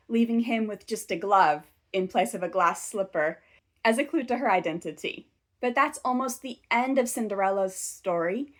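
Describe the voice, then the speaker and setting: A woman hosting a scripted commentary video, talking in a measured, analytical way. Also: mid-range voice. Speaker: video essay host.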